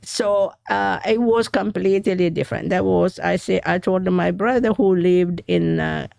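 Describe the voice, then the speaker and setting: Halting gravelly woman author recounting. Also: on the low side, darkish tone, dry recording, clean studio sound. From Ethiopia. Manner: halting, searching for words. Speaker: author guest. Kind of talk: interview storytelling.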